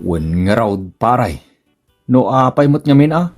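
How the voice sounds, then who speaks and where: A man acting a henpecked village husband in an Ilocano comedy radio drama. His Ilocano-accented voice swings widely in pitch as he stammers, grunts and hems, easily flustered. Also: sing-song, measured pace, soft background music. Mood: rueful. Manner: stammering, hemming and hawing, grunting exclamations.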